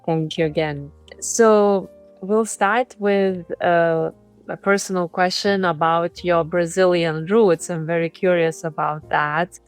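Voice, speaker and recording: A woman's voice: lowish voice, fairly even intonation, takes it slowly, smooth, faint music behind. A woman hosting a podcast, eagerly introducing her guest and asking long, winding questions. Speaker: podcast host. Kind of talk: interview hosting.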